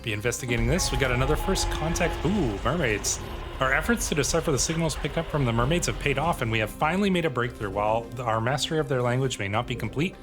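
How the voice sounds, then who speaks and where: A man's voice: mid-range voice, animated, fast. Man narrating his gameplay over prominent music. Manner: musing, talks to himself. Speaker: gaming video host. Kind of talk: roleplay game commentary, reading on-screen text aloud and thinking out loud. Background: game music.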